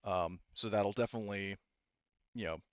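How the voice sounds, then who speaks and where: Halting man game master, slightly dull mic. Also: lowish voice, clean tone, no room sound. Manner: halting, explanatory, repeats himself. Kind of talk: casual tabletop game narration.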